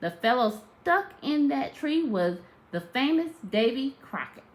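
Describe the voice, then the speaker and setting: A woman narrating a children's tall tale aloud like a teacher, with expressive, emphatic storytelling. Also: high voice, measured pace.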